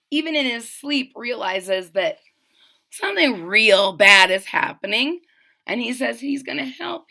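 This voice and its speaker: High, bright-voiced woman reading a storybook. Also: gravelly. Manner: dramatic, addressing listeners directly. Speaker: storybook reader. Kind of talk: expressive children's read-aloud with retelling asides.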